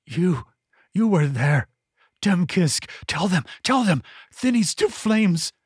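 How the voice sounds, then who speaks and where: A man doing a madman with a ravaged, rasping throat that can barely find volume, pitched a little high. He raves in broken, frantic bursts. The sound is close and dry. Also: big pitch swings, takes it slowly, dry recording, exaggerated voice. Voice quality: raspy, strained. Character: ranting mad man, rasping and drooling.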